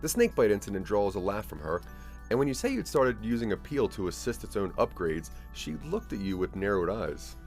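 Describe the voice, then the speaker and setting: A man narrating a scripted audio drama in the second person, with a measured storytelling delivery. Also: fairly low pitch, sing-song, faint music behind.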